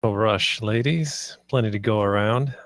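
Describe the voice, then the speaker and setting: A man plays an easily flustered husband in a screenplay reading. He speaks slowly in a mid-pitched male voice, recorded dry. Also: fairly bright tone, no echo. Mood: cocky. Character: flustered, intimidated married man being seduced. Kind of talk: screenplay table read.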